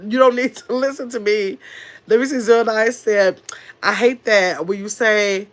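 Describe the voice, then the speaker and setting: A woman's voice: mid-range voice, normal speed. A middle-aged woman with a nasal voice, coming down with a cold, chatting casually on her livestream and answering viewers' comments in a sassy, rambling way. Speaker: livestream host reading chat.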